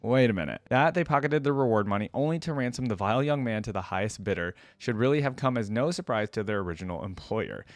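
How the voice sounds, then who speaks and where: A man's voice: lowish voice, animated, fast talker, hardly any pauses, no room sound. A man hosting a reaction video, reading game lore aloud and breaking off for casual comments.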